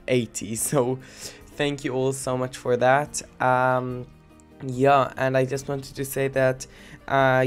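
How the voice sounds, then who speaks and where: A young man with a blocked, stuffy nose from a cold, giving rambling, giggly gameplay commentary. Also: mid-range voice. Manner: rambling, giggly, many fillers. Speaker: let's-play gamer.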